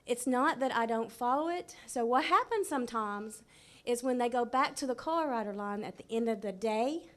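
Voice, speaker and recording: A woman's voice: a little high, lively intonation, moderate pace, fairly bright tone. A woman school principal, speaking candidly at a board meeting. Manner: candid, conversational, with fillers.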